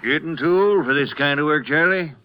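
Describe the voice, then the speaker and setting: An actor playing an aging small-town store owner, folksy and stubborn, in an old-time western radio drama. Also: male voice, a little high, a little fast, lo-fi sound, close to an everyday voice. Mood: friendly, weary. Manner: folksy, hesitant country speech.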